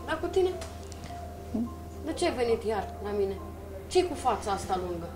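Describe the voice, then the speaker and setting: A woman's voice: on the high side, big pitch swings, over music, rough recording. An actress playing a blunt middle-aged working mother who scolds and questions her daughter. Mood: scolding.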